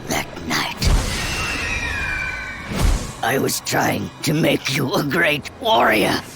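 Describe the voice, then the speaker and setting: An actor playing a wrathful, domineering god of war in a video game, speaking with grandiose, taunting menace. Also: male voice, medium pitch, wildly swooping pitch, drawn-out words, creaky, music in the background, full character voice. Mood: grave, commanding. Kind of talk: dramatic video game acting.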